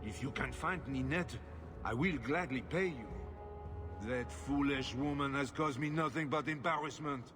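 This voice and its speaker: An actor playing a bitter, self-pitying middle-aged husband, petulant and scornful. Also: male voice, medium pitch, moderate pace, long reverb tail, music in the background, lightly acted.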